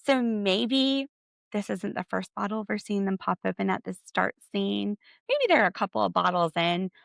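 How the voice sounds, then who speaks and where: A woman's voice: animated, moderate pace, vocal fry, dry recording, studio quality. A young woman hosting a podcast, recapping in a chatty, sarcastic way. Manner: chatty and sarcastic, acting out quotes in a high voice. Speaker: podcast host. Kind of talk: casual recap chat.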